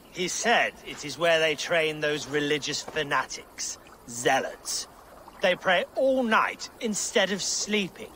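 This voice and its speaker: An actor playing a tipsy, greedy, cowardly medieval storyteller in a video game, with a theatrical, rambling delivery. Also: male voice, high voice, sharp tone, a little hiss or hum, heavily acted. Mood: nervous compliance.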